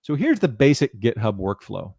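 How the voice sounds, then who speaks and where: A man in his thirties giving an explanatory technical presentation in a measured, instructional way, speaking into a headset. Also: on the low side, very animated, vocal fry, a little mellow, slightly rough, no room sound, clean studio sound. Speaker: presenter, documentation content developer. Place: small indoor room, home video call.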